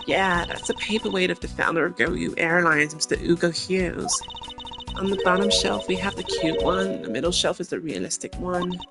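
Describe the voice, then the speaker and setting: An airy, oblivious young woman from a video game, played by a woman, breezy and casual. Her voice sits fairly low with some creak, and music plays behind it. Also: lively intonation, normal speed. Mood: airy, teasing.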